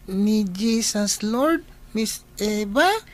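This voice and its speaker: An actress with an Ilocano accent playing a young boy who talks haltingly and innocently in a radio drama. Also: medium pitch, expressive. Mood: stubborn. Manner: halting, stammering repeats.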